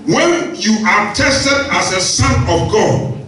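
Middle-aged male preacher, handheld mic, slow and emphatic, calling out to the congregation; very echoey hall, mic a bit far off. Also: sits high, lively intonation, pushed and bright, faint music behind. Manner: emphatic, repeats key phrases, asks the congregation questions. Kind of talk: sermon. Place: large church hall with seated congregation. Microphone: handheld microphone.

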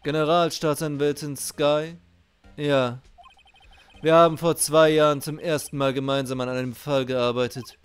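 A stern young male prosecutor from a video game, read by a man. He speaks formally and seriously, brooding in clipped, measured phrases over faint music. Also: a little high, fairly even intonation, smooth, natural voice. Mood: brooding, resigned.

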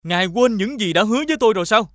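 Lively young cartoon prince. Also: male voice, sits high, very fast. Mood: polite, firm. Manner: earnest, expressive. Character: earnest young prince posing as a hunter. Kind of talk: Vietnamese animated fairy tale dubbing.

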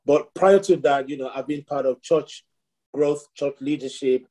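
A man's voice: mid-range voice, normal speed, mellow. A middle-aged man, raised in Nigeria, a priest speaking as a guest on a video call, reflective, with many fillers and restarts. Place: home room with shelves.

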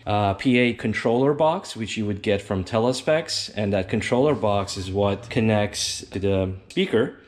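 A man giving an informal step-by-step product demonstration, explaining an installation plainly as a company representative. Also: on the low side. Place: indoors at a tabletop demonstration bench.

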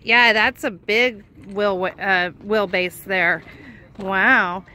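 A woman's voice: medium pitch, very slow, pushed and bright. A woman chatting casually and enthusiastically as a vlogger while touring a van, recorded outdoors in the wind on a handheld camera. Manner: enthusiastic, exclaiming. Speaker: vlogger interviewing a van owner. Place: outdoors in open desert, in and beside a van. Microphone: handheld camera or phone. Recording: windy, noisy outdoor camera audio.

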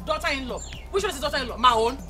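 A man voicing a stubborn, scolding older Nigerian mother who speaks in Nigerian Pidgin, scornful and sharp. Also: very high-pitched, very fast, over music, pitched above the performer's own voice.

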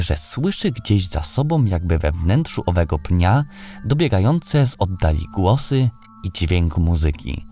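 A man reading a scripted fairy tale as an audiobook narrator, in an expressive storytelling manner. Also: low-pitched. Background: background music.

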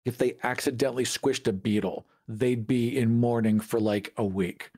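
A man's voice: mid-range voice, fairly even intonation, normal speed, a little mellow. A man co-hosting a podcast in casual debate, giving his opinions emphatically. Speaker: podcast co-host.

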